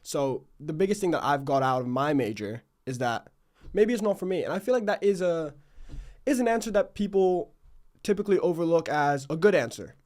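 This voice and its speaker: A man's voice: on the high side, expressive, fairly quick, a little mellow. A young man hosting a casual podcast interview, talking informally and reflectively with plenty of "like". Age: young adult. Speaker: podcast host.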